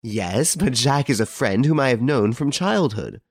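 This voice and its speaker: A man's voice: medium pitch, animated, moderate pace, breathy edge, dry recording, studio quality, voice pushed up. A man acting a young murder suspect in an audiobook, mocking and flurried. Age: young adult.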